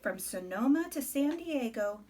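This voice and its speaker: A woman reading a rhyming picture book aloud to a small child in an expressive, deliberate storybook manner, with chatty asides. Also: high voice, a little hiss or hum.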